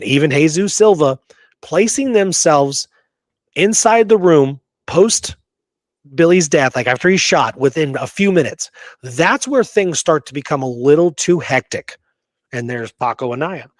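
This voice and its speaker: A man's voice: fairly high pitch, animated, a little slow, dry recording. A man hosting a conversational history discussion, speaking in an emphatic, opinionated way and repeating points to drive them home. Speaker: podcast host explaining frontier history.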